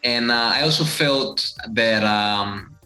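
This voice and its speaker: A man from Greece in his thirties, a fitness coach answering interview questions in a relaxed, reflective way with many fillers. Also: medium pitch, slow pace, echoey room, soft background music, low-quality recording. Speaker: fitness coach and channel host.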